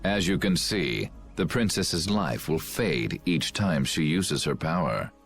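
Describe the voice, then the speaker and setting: An actor playing a scheming, menacing villain commander who speaks in a slow, contemptuous, threatening way. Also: male voice, expressive, faint music behind, heavily acted. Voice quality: deep gravelly. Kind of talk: video game voice acting.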